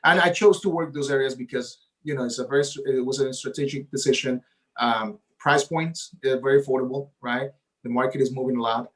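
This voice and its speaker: Man, native Spanish speaker, realtor, eager, talkative, middle pitch, tags sentences with "right?". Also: steady tone, fairly quick, fairly bright tone, poor audio. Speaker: realtor guest. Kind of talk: casual interview talk.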